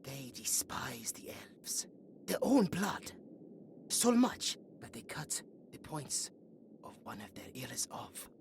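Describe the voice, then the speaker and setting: A woman playing a young, earnest and chatty elven guard in a fantasy audio roleplay, musing aloud in a soft, hesitant way. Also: extremely low pitch, big pitch swings, slow, whispered, heavily acted. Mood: gentle, solemn.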